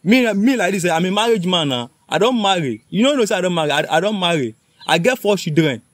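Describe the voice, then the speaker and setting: A man acting as a married pastor, cornered and evasive, pleading in rapid Nigerian Pidgin. He rambles and stumbles over his words, his voice fairly high for a man and dry and close in the recording. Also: lively intonation, a bit bright, no echo. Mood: defensive. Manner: rambling, repeats himself, stammers. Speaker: pastor caught cheating.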